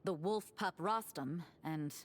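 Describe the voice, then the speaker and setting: A woman's voice: on the low side, slow pace. Wry, worldly churchwoman. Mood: grave storytelling. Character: worldly, wry adult woman of the Church, frank storyteller. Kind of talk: video game character acting.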